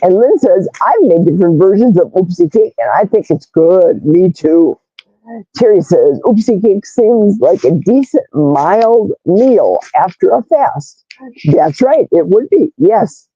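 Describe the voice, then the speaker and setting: Raspy, brash woman livestream host. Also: medium pitch, dry recording, dull audio. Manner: animated, reads comments aloud, squeals greetings. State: hoarse, vocal fry. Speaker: livestream host reading chat comments. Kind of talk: casual live chat.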